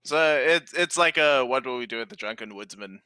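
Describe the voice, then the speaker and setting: A man's voice: medium pitch, expressive, moderate pace, pushed and bright, clean tone, no room sound. A man, a native Afrikaans speaker, running a tabletop game as game master and joking with the players.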